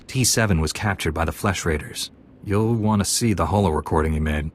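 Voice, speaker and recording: An actor playing an earnest, steadfast Jedi apprentice in a video game, composed and formal. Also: male voice, low-pitched, brisk, slight background noise, close to an everyday voice. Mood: earnest resolve. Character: earnest, steadfast young Jedi Padawan hero.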